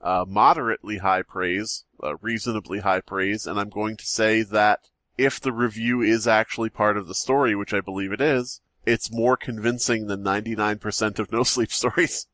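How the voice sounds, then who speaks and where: A lower-voiced man reads a story aloud and chats in an easygoing, teasing manner. His voice sounds dry and close, with no room around it. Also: fairly even intonation, moderate pace, fairly bright tone, no echo. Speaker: podcast co-host reading the story. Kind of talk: casual chat and story reading.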